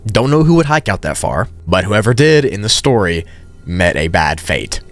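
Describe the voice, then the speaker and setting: Low-voiced man casually retelling a story. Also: big pitch swings, moderate pace, faint music behind. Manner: conversational, informal asides. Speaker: video narrator, storyteller. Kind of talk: casual story recap commentary.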